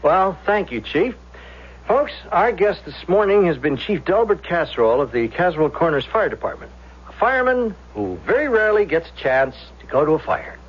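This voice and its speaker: An actor playing a polished, wry television talk show host in a 1970s radio drama. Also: male voice, high-pitched, very animated, a little fast, husky, heavily acted. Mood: smooth, wry. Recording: muffled old radio recording.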